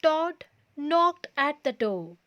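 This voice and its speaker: A woman narrating a children's storybook aloud in a deliberate, expressive storytelling manner. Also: high-pitched, lo-fi sound. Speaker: storybook reader.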